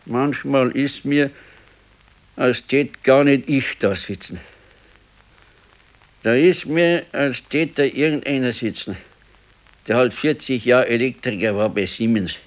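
An actor playing a brooding, stubborn old working-class pensioner and husband with a Bavarian accent in a radio play. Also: male voice, medium pitch, lightly acted. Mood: pensive. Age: older. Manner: slow, ruminating, stubborn. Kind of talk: 1970s naturalistic dialect radio play.

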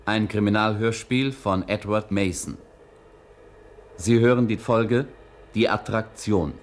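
A man announces a radio play, his voice low and unhurried. His delivery is formal and solemn, with a faint hiss in the background. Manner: slow, solemn.

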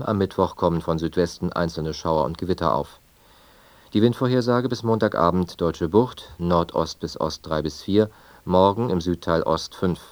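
A man with a low voice reads a radio bulletin in standard German from Germany. His delivery is formal and measured, recorded close and dry without room sound. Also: no room sound. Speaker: radio newsreader. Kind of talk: scripted news and weather bulletin.

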